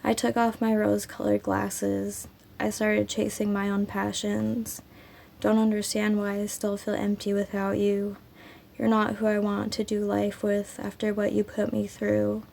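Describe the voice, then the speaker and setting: A woman with a raspy, under-the-weather voice reads her own poetry at a slow, measured pace. Her tone is gravelly and stays flat. She fills the gaps between poems with easygoing chat. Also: medium pitch, slight background noise. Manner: slow, even, unhurried delivery with frequent ums. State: sick, raspy throat. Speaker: poetry channel host.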